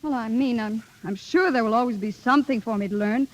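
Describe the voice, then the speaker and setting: High-voiced, headstrong young drama pupil played by an actress. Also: female voice, brisk, lightly acted. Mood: eager, probing. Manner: theatrical, emphatic. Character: eager, headstrong young female acting pupil. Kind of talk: old film or radio drama acting.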